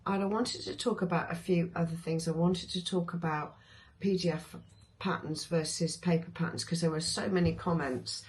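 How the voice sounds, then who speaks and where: A woman with a hoarse voice, chatting casually about her favourite sewing patterns, with many ums and restarts. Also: lowish voice, slight background noise, rough recording. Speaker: sewing vlogger.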